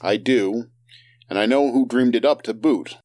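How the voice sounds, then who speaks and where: Brainy hero, male actor: composed, precise, dry, middle-pitched voice for audio drama. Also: moderate pace, a little mellow, natural voice. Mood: analytical, calm. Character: pedantic, composed male scientist-type hero.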